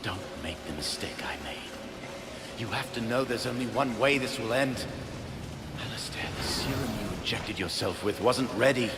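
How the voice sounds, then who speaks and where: An actor playing a remorseful, earnest scientist in a video game cutscene, pleading and emphatic with a gravelly voice. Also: male voice, medium pitch, lively intonation, normal speed, roomy sound, big-room sound, noisy background, lightly acted.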